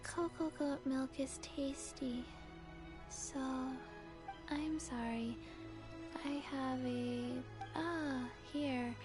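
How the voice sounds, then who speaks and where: A woman voicing a small, sluggish, earnest zombie girl in a video game, speaking in short halting fragments with a soft childlike voice. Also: high voice, steady tone, music in the background. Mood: hesitant.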